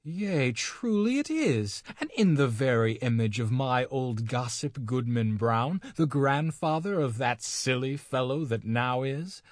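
A man's voice: animated, a little slow, few pauses, dry recording, studio quality, lightly acted. A man voicing a cackling old female witch who acts pious but is sly. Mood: gossipy. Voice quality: shrill, cackling.

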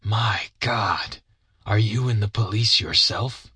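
A man voicing a bitter, broken-down old ex-soldier who speaks slowly and grudgingly. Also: fairly low pitch, sharp tone, breathy edge, dry recording, heavily acted. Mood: defiant. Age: older. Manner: slow, heavy, grudging storytelling. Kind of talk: audiobook character reading.

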